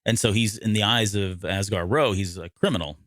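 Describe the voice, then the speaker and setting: Male podcast co-host, lower-pitched, dry close sound, conversational, self-correcting recap delivery. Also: a little fast, fairly bright tone, dry recording. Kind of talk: casual discussion recapping an audio drama.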